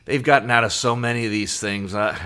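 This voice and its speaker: A middle-aged man with a bright, clear-edged voice of average pitch co-hosts a podcast. He tells anecdotes casually, in a loose conversational way that halts and picks up again. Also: fairly quick. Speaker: podcast co-host.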